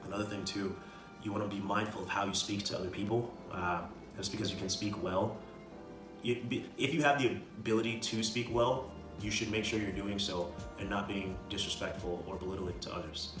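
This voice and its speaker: A man speaks in a roomy, echoing space, some way from the mic, with music behind him. His voice sits on the low side and moves quickly. He talks like a casual self-improvement vlogger, emphatic and slangy, circling back to drive his points home. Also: steady tone, far from the mic. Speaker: video creator giving self-improvement advice. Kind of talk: casual motivational talk to camera.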